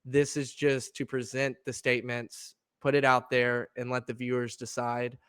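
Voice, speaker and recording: A man's voice: medium pitch, completely flat delivery, normal speed, fairly bright tone, dry recording, clean studio sound. Congested young man hosting a podcast. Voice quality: slightly gravelly, nasal. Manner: easygoing, laughs often, many fillers and restarts. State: congested from allergies. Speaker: podcast co-host. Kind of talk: casual chat.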